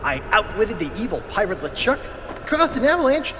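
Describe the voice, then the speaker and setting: A man playing an earnest, excitable young pirate hero who reads out his diary in a video game. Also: sits high, brisk, noisy background. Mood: excited, happy. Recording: loud music under the voice.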